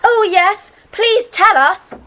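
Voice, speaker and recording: A woman's voice: very high voice, takes it slowly, sharp tone, heavily acted. A woman playing a cheerful, kindly, enthusiastic schoolgirl in an audiobook drama, on a noisy tape. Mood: eager. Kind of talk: audiobook radio-play dramatisation.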